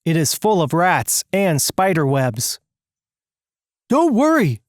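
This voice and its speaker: Dry, close-miked story narrator with lively pitch. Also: a little slow, no echo.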